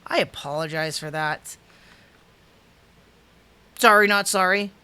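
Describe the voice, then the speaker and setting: A man's voice: high voice, takes it slowly, clear, resonant tone. A man in his thirties hosting a casual livestream review from a small room at home, talking into a desk webcam setup, rambling and restarting, stumbling over names and chuckling. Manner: animated, gestures with hands while talking.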